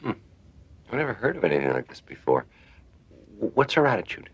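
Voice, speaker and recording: A deep-voiced, kindly psychiatrist. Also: male voice, big pitch swings, a little fast, slightly rough, lo-fi sound, heavily acted. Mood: inquisitive. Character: kindly, inquisitive male psychiatrist, calm and patient. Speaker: actor in a television drama. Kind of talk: naturalistic television drama dialogue.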